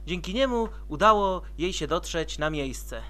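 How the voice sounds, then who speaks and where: Bright, higher-pitched male story narrator. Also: expressive, a little slow, slight background noise. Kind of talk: scripted story narration for an amateur comic dub.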